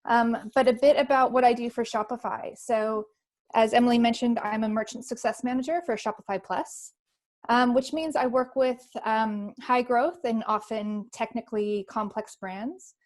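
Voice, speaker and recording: A woman's voice: a little high, steady tone, normal speed. Woman, thirties, Californian American accent, friendly, many um fillers, video call webinar. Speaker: panel speaker. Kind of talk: webinar self-introduction.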